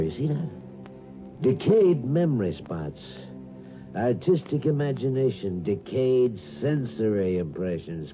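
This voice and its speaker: An actor playing a fussy, self-satisfied sinister doctor in a 1950s radio drama, muttering and gleefully patronizing. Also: male voice, fairly low pitch. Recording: tinny 1950s radio, music behind.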